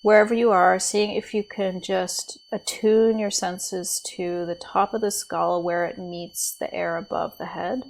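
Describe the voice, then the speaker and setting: A woman leading a guided body scan meditation as a teacher, speaking in a gentle, soothing, unhurried way with pauses. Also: lowish voice, steady tone, gravelly, a little hiss or hum, low-quality recording.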